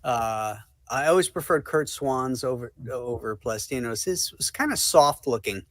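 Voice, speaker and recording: A man's voice: mid-range voice, expressive, normal speed, crowd noise. A man talking casually about comics as a panelist on a livestream. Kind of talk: casual chat. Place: online group call.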